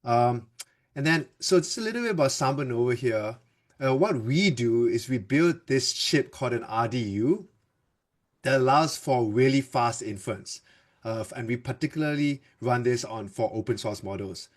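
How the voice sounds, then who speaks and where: A man from Singapore talks through a tech webinar in a relaxed, chatty way. He often restarts a sentence and fills pauses with "uh" and "like" while he explains his slides. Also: medium pitch. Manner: loose and conversational, with frequent fillers and restarts. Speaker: developer advocate presenter. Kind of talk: casual tech presentation.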